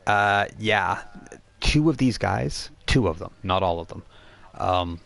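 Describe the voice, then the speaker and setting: Male game master, lowish voice, thinks aloud and improvises haltingly while narrating a tabletop session. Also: lively intonation, creaky, a bit bright.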